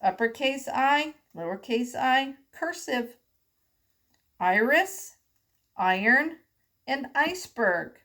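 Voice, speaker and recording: A woman reading aloud to young children as a teacher, enunciating deliberately and prompting them with questions. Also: high voice, expressive, pushed and bright. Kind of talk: children's read-aloud lesson.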